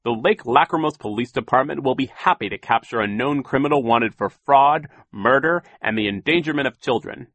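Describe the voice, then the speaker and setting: Man as a stern, pompous, forceful gentleman. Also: mid-range voice, very animated, moderate pace, no echo, pitched above the performer's own voice. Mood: stern, indignant. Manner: stern, emphatic, pompous scolding. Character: stern, fussy, indignant gentleman banker in authority. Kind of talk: audiobook character voice.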